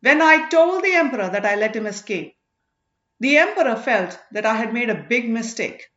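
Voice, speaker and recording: Woman voicing a stern, high-pitched commanding male elder. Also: animated, fairly bright tone, echoey room, dull audio. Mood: stern, accusing. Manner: emphatic, declamatory, deliberate short phrases.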